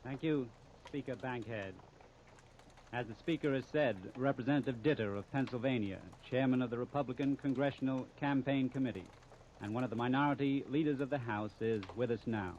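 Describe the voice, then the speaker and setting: A man's voice: medium pitch, fairly quick, a little mellow. A man introducing and interviewing guests on 1930s radio in a formal, courteous manner. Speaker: radio news analyst and interviewer. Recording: muffled, noisy 1939 radio disc recording.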